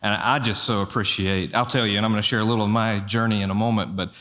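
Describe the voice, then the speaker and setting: A man's voice: fairly low pitch, steady tone, fast. A man in his thirties from the American South, hosting a church stage interview and answering with affirming amens. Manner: warm affirming, frequent amens. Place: church stage before an audience.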